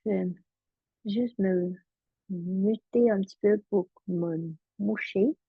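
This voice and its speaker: A woman's voice: mid-range voice, slow, vocal fry, husky, no room sound, phone-quality sound. A middle-aged woman, originally from Vietnam, recording a casual numerology webinar as a coach, speaking hesitantly with frequent fillers and restarts.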